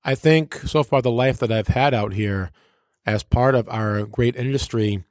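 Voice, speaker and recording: A man's voice: mid-range voice, measured pace, darkish tone, dry recording, studio quality. A man who works as a radio personality, chatting casually as an interview guest in a slangy, playful, showman-like and rhetorical way.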